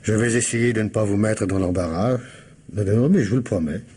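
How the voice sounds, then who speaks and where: An actor playing a shrewd, courteous police commissioner in a radio mystery drama, husky and politely insinuating as he questions methodically. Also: lowish voice, brisk, darkish tone. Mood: knowing, gentle.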